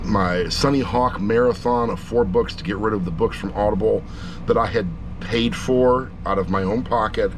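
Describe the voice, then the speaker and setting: A man's voice: on the low side, background noise. A middle-aged man giving a casual, rambling review, with blunt, jokey asides. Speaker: audiobook reviewer.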